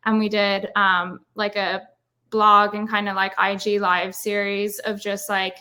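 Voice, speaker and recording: Irish woman, bright tone, chatty filler-heavy guest on a video call. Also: medium pitch, level tone, unhurried. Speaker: guest speaker, brand marketer. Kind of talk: casual webinar interview.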